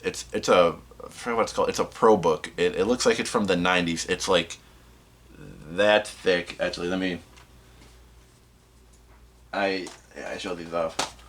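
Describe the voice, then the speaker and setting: Low-voiced man rambling through a casual vlog. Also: fairly even intonation, fairly bright tone, a little hiss or hum. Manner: rambling, halting, self-interrupting. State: getting over a cold, slightly sniffly. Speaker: host of a movie collection video channel.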